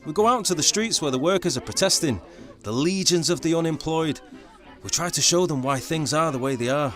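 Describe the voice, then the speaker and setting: Young political songwriter, earnest, good-humoured, animated intonation, radio drama. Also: male voice, on the high side, fairly quick, soft background music. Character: young working-class Salford man, political songwriter, earnest agitator. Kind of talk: radio play acting and narration.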